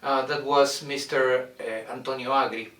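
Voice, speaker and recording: A middle-aged man from Argentina, a violin professor introducing his studio in a measured way, with many "uh" pauses as he searches for words. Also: medium pitch, fairly even intonation, fairly bright tone, recorded from a distance. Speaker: violin professor and orchestra director. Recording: roomy echo, mic set back.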